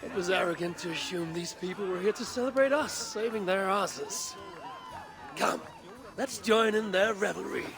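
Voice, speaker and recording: A man's voice: high voice, normal speed, vocal fry, crowd noise. A man playing a bold, competitive, teasing Viking warrior in a video game. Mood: proud, challenging. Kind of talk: video game cutscene dialogue.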